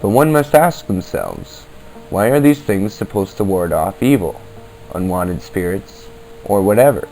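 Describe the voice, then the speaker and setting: A man hosting a podcast, reading scripted narration in an earnest, deliberate manner over a basic amateur recording. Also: fairly low pitch, animated, warm tone, rough recording. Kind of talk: scripted narration on the paranormal in old-time radio format. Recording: basic amateur equipment, music underneath.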